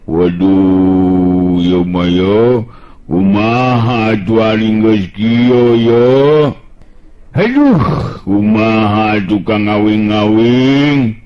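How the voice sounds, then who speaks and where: A man's voice: on the low side, extremely slow. A storyteller voicing a timid young village man with a nasal, lisping way of speaking. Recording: dull lo-fi sound.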